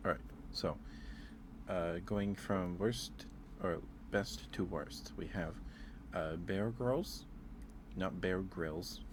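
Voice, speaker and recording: A man streaming casual ASMR chat, talking haltingly, often repeating words and trailing off. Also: sits low, background noise. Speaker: streamer hosting a ranking.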